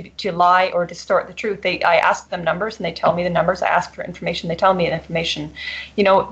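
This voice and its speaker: A woman answering interview questions earnestly, often restarting and filling with "you know". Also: medium pitch. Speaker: interview guest reporting from abroad. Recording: dull lo-fi audio.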